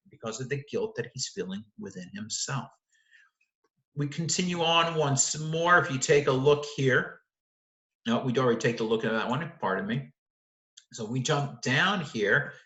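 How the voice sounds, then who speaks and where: Bright-voiced man explaining a play. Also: mid-range voice, roomy sound, low-quality recording. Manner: explanatory, restates and corrects himself. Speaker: literature teacher. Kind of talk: lecture on a play, walking through the text.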